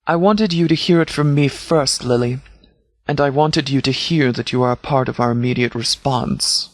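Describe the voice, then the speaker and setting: A man playing a stern, composed sheriff in a video game, speaking in a measured, formal, authoritative way. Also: medium pitch, no echo, natural voice. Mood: businesslike briefing. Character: stern, composed male sheriff leading a post-apocalyptic militia. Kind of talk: scripted video game dialogue.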